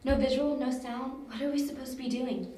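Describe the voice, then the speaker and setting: A woman's voice: sits high, fairly even intonation, a little fast, mellow, natural voice. A woman playing an impatient young stakeout partner in an amateur audio drama, questioning and skeptical. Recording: echoey room, distant mic, faint noise.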